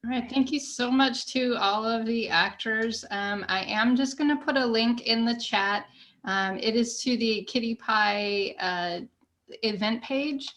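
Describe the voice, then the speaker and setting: A woman's voice: a little high, unhurried, a bit bright. A woman hosting an online event, moderating casually over a video call. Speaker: event host.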